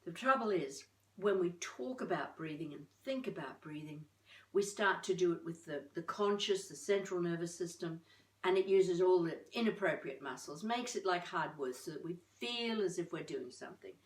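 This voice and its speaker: An older woman giving an informal voice-coaching lesson to camera in an expressive, chatty, encouraging way with playful asides. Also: on the low side, normal speed. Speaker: voice coach presenting a tutorial. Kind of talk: informal instructional talk to camera. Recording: echoey room, distant microphone.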